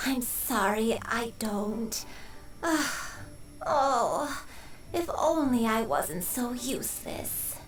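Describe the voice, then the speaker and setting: A woman's voice: fairly high pitch, expressive, drawn-out words, roomy sound, recorded from a distance, low-quality recording. A woman playing a frail, doting, forgetful old grandmother in a video game, speaking tenderly and hesitantly. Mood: self-reproachful. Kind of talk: video game character dialogue.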